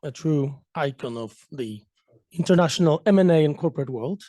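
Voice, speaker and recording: A man's voice: on the high side, animated, moderate pace, warm tone, no room sound. A man moderating a seminar, thanking one speaker and formally introducing the next.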